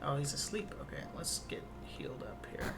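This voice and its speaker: A woman's voice: a bass voice, very animated, normal speed, vocal fry. A woman with a Minnesotan accent, chatting casually and playfully while streaming a game. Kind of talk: casual gameplay chat. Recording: game music behind.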